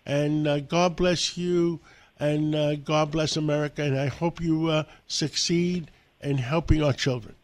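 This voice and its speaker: Halting older male interviewer. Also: fairly high pitch, fairly even intonation. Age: 70s. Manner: halting, with many fillers. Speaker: host. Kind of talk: radio interview.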